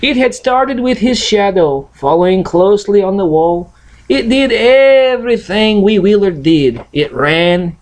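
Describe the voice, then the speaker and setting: Russian-accented man reading slowly, with swooping intonation. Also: high voice, darkish tone, slight background noise, low-quality recording. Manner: non-native grammar, halting, playful delivery. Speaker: host reading a picture book aloud. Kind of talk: storybook reading and casual chat to camera.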